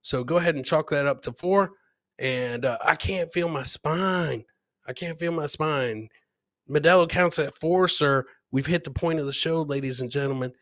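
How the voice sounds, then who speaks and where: A middle-aged man with a bright, forceful voice sitting a little high. He hosts a comedy live stream, bantering casually with his viewers. The sound is close and dry, from a studio mic. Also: moderate pace, no room sound. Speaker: comedy podcast host. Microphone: close studio mic on a boom.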